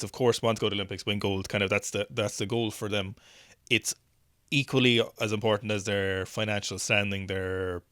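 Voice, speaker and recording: A man hosting a strength news show in a relaxed, chatty way, thinking aloud through each clip with plenty of restarts. His voice sits somewhat low. The sound is dry and close, with no room echo. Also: smooth. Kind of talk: casual video commentary on weightlifting clips.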